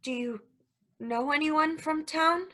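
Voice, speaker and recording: A woman's voice: high voice, slow, pushed and bright, heavily acted. A woman reading the part of an emotional, hesitant, guilt-ridden young woman in a stage play. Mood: soothing, guarded.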